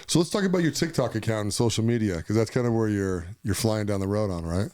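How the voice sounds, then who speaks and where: A man hosting an interview podcast, quick to agree and leading the talk casually. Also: fairly low pitch, a little mellow. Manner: brisk, lots of short agreements. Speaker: podcast host.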